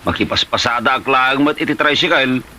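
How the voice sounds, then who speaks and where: A man's voice: medium pitch, a little fast, pushed and bright. A man playing a weary, exasperated husband in an Ilocano comedy drama, whining and sputtering with an Ilocano Filipino accent. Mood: baffled, protesting. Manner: whining, sputtering repeated exclamations. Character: exasperated, weary husband who pleads with his wife. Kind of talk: Ilocano comedy radio-style drama acting.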